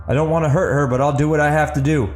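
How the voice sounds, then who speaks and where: A man's voice: on the high side, steady tone, fast, echoey room, faint music behind, voice pushed up, close to an everyday voice. A man voicing a wary, threatening country gunman with folksy rustic speech in an audiobook drama. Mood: tense warning. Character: wary, threatening rural gunman defending his home and hogs.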